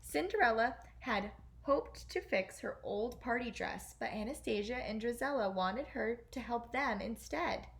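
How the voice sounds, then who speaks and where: A woman with a somewhat high voice narrates a children's story. She reads expressively, as a teacher does when reading aloud. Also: lively intonation, a little slow, hardly any pauses, fairly bright tone.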